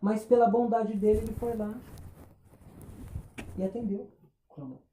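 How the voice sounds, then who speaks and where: A man preaching a sermon, impassioned, firing rhetorical questions and dropping between loud and hushed. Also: high voice, fairly even intonation, unhurried, roomy sound, slight background noise, rough recording. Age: 20s.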